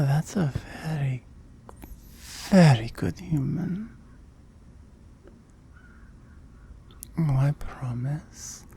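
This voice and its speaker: A woman's voice: deep voice, mellow, breathy edge, full character voice. A woman playing a seductive, possessive immortal oni demon in an ASMR roleplay, often whispering, teasing and commanding. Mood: smug, possessive.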